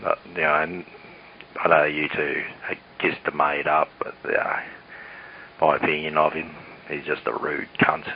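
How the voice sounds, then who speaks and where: A man's voice: extremely low pitch, flat delivery, measured pace. A man chatting casually over CB radio, blunt and sweary. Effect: CB radio transmission. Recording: muffled hissy CB radio transmission.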